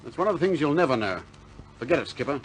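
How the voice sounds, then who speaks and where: A man's voice: on the high side, big pitch swings, fast, slight background noise, poor audio. An actor playing a plucky, stubborn young RAF bomber airman in a 1950s British war film, blunt and informal in manner. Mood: firm, reassuring.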